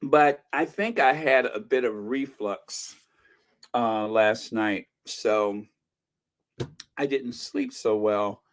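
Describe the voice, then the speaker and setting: Older guy w a scratchy hoarse voice, talks slow, sarcastic host chatting about news. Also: mid-range voice. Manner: wry, sarcastic asides. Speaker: channel host. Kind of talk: casual commentary, reading viewer comments.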